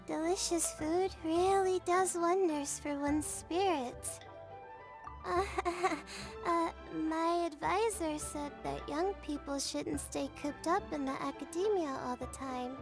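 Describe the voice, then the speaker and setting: Very high, timid student girl. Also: gravelly, exaggerated voice. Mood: weary, relieved. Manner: hesitant, drawn-out. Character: timid, weary young female student, earnest and gentle. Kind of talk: video game cutscene acting. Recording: faint music behind the voice.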